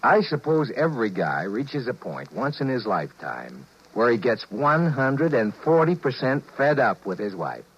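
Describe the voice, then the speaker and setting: A wry, henpecked husband of 1950s radio drama. He is colloquial and fed up, and his pitch moves freely. The sound is a muffled old broadcast recording. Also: very animated, normal speed, few pauses, husky. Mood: wry, resigned. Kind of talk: 1950s radio drama acting with first-person narration.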